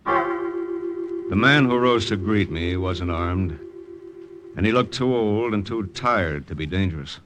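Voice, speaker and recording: Wary American spy narrator, low pitch, terse, music underneath, old-time radio. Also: male voice, huge pitch swings, slow pace, pauses often, full character voice. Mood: brooding. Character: wary, hard-boiled American secret agent narrating his mission. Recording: vintage radio drama recording with music.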